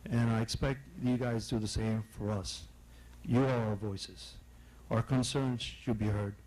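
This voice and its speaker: A man's voice: lowish voice, fairly even intonation, a little slow, darkish tone, poor audio. A man giving firm public comment as a longtime resident, urging officials to listen.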